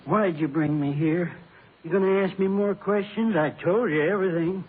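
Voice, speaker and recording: An actor playing a gentle, grieving accused husband in an American radio drama, halting and full of humble questions. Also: male voice, high-pitched, slightly rough, narrow, tinny audio. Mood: anxious. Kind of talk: 1950s radio drama acting. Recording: old band-limited radio broadcast, hissy.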